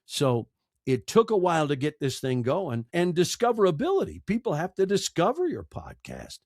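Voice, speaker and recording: A man's voice: a little high, huge pitch swings, moderate pace, darkish tone, dry recording, clean studio sound. A man speaking as a podcast guest, giving conversational advice emphatically and repeating phrases for effect.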